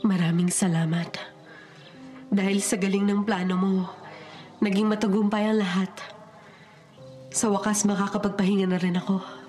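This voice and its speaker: A woman's voice: medium pitch, flat delivery, normal speed, long pauses, a little mellow, lightly acted. A woman plays a murdered woman's ghost in a Filipino radio drama, haunting and out for justice. Dramatic delivery sits over prominent music. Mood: calm, grateful.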